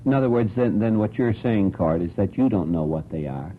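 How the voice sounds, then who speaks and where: Low-voiced male radio host, muffled old recording. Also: expressive, a little fast. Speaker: radio talk show host. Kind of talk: probing panel discussion questions. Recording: muffled old radio broadcast, clear hiss.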